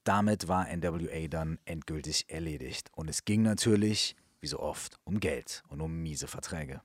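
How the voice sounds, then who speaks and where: A man's voice: a bass voice, moderate pace, husky, no echo. A man co-hosting a casual chat about music history, talking in slangy, colloquial language. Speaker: co-host.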